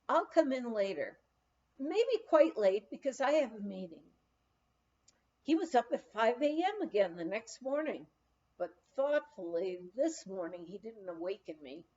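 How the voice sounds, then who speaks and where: A woman reads a lighthearted memoir in a fairly high voice. Her delivery is expressive, with very animated melody and deliberate phrasing. The recording sounds a little muffled and lo-fi. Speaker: audiobook reader. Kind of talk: narrated reading of a humorous memoir.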